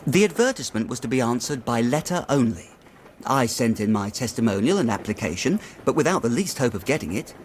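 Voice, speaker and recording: An actor playing an earnest, worried young city clerk in a radio drama, chatty and slangy but deferential. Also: male voice, mid-range voice, very animated, brisk, slight background noise, natural voice. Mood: animated. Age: young adult.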